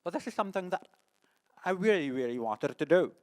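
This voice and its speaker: A deaf man with a mixed French and English accent gives a conference talk, speaking haltingly with many restarts. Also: high voice, expressive. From born in the United States, lives in France. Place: conference hall.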